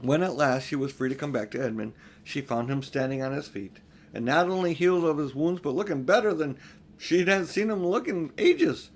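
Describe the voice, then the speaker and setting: An older man reads a children's story aloud in an expressive, unhurried, homely way, with informal asides. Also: on the high side. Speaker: audiobook reader.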